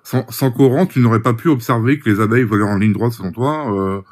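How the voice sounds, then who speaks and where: A man's voice: mid-range voice, brisk. A man questioning the other speaker skeptically in a heated casual debate.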